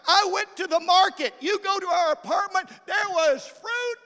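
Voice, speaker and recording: A male preacher with a notably high voice, heard in a large hall with long reverb. He delivers his sermon as storytelling, with dramatic emphasis and phrases repeated for effect. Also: moderate pace, sharp tone. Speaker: missionary preacher.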